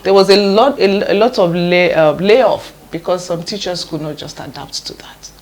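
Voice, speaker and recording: A woman hosts the interview. She speaks in a somewhat low voice, restarts her questions often, and keeps affirming what her guest says. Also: moderate pace, a little mellow. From Nigeria.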